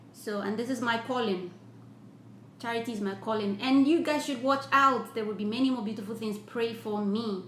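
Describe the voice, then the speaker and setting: Woman livestream host, effusive, slightly high voice, echoey room, faint hum. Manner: warm, effusive, blesses viewers at length; earnest, repetitive preaching tone. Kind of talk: casual live-stream chat, reading viewer comments.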